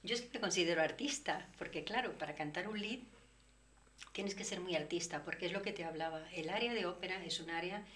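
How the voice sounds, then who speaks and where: Lower-voiced woman singer, reflective talk. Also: brisk, a bit bright, a little hiss or hum. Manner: explains at length, reflective. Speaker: interview guest. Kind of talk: relaxed conversation.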